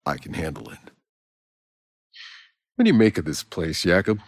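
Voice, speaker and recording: Deep, gravelly, world-weary soldier. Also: male voice, very animated, moderate pace, in a large hall, full character voice. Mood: matter-of-fact. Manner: blunt, sardonic, casual and profane. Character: gruff, world-weary sardonic male soldier and survivor. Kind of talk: video game character dialogue.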